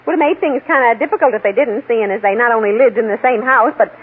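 An actress playing a gossipy, middle-aged American housewife, garrulous and self-important, in 1940s radio drama style. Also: female voice, a little high, quick pace, slightly rough. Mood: confiding. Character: gossipy, nosy, fluttery housewife and eager neighbourhood witness. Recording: muffled, narrow-band old radio recording.

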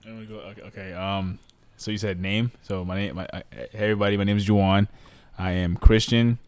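A man's voice: lowish voice, steady tone, quick pace, a little mellow, clean tone. A man in his twenties chatting casually as a podcast guest, rambling and repeating himself. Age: 20s. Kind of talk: casual chat.

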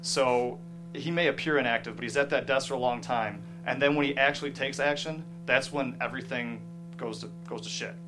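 Halting man over guitar music. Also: mid-range voice, poor audio. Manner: halting, searching for words. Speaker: screenwriter being interviewed. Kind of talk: casual, thoughtful interview talk.

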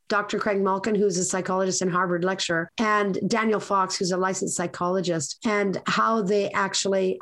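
A raspy, hoarse woman hosts her podcast, talking through advice in an earnest, meandering way. Her pitch sits in a middling range. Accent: Canadian. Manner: earnest, lecturing, rambling. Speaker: podcast host. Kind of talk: casual advice talk.

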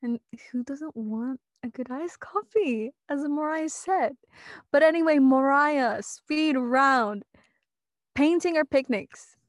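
A woman's voice: high-pitched, takes it slowly, no room sound. A young woman podcast co-host who works in church worship and media, talking in a cheerful, chatty way. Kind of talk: casual podcast introduction.

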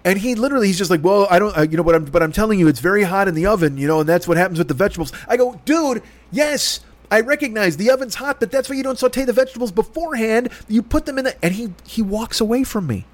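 A man's voice: sits high, expressive, quick pace, hardly any pauses. A middle-aged man from Chicago with a Chicago accent, telling a long exasperated story in a heated, profane rant. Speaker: podcast host.

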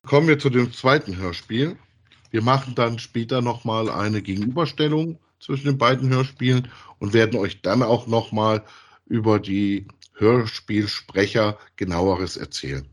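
A middle-aged man co-hosting a podcast in a casual review chat about audio dramas, with a husky voice. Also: fairly low pitch, moderate pace. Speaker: podcast co-host.

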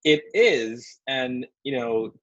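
A man from Sri Lanka, a consultant and author, telling his story in a relaxed, reflective way as a guest over a video call from his home studio. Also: mid-range voice, slow pace, dry recording, highs cut off.

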